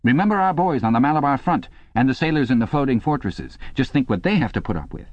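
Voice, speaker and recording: Man putting on a shrill, bossy exercise instructress. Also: expressive, close to an everyday voice. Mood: bossy, exhorting. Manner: barking drill commands, scolding then briskly encouraging. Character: shrewish 39-year-old female exercise instructress, bossy and brisk. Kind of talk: audiobook character voice. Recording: dull, echoey room recording.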